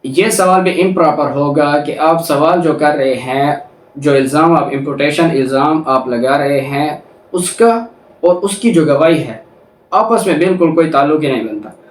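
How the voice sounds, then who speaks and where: A man with a Pakistani accent giving an explanatory law lecture, didactic and given to rephrasing his points. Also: on the high side. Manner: didactic, repeats and rephrases points, slow deliberate reading of quoted text. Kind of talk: explanatory lecture, reading statute then explaining it. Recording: echoey room, distant microphone.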